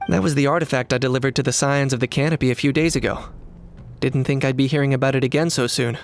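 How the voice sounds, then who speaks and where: A man's voice: mid-range voice, steady tone, quick pace, a little hiss or hum, lightly acted. An actor playing a dry, matter-of-fact young man in a video game. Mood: wry.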